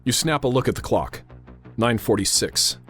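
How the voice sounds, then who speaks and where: An actor playing a tense, authoritative airfield controller in a radio drama, narrating and giving orders with clipped urgency. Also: male voice, mid-range voice, animated, moderate pace, a bit bright, soft background music, natural voice. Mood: grave suspense. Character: tense, commanding male airfield tower controller narrating a crisis.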